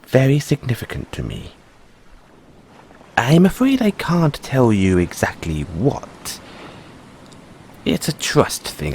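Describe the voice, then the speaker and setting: A man playing a proud, secretive merman prince in an audio roleplay, speaking formally and deliberately, courteous but wary of humans. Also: mid-range voice, a little mellow, a little hiss or hum. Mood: grave, bargaining. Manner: formal, deliberate, measured phrasing with dramatic pauses. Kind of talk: scripted audio roleplay addressed to the listener.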